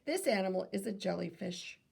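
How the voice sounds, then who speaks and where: Female reader for a kids' nonfiction read-aloud. Creaky edge, mid-pitched, teacherly, with off-the-cuff asides. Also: animated, fairly quick, a little mellow. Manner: teacherly, asks questions and answers them, self-correcting. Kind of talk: reading a children's nonfiction book aloud with asides.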